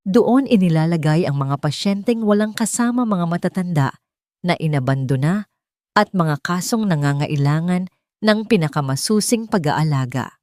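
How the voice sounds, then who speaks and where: A woman narrates a fictional story with dramatic, expressive delivery. Her voice sits a little low. The recording is dry and close to the mic. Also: moderate pace, dry recording.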